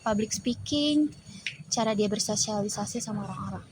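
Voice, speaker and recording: A woman's voice: medium pitch, normal speed, noisy background. A teenage girl from West Java, a pageant model being interviewed, talking in a loose, chatty way with lots of fillers. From West Java, Indonesia. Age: teens. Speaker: guest, teen pageant model. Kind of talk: casual interview chat.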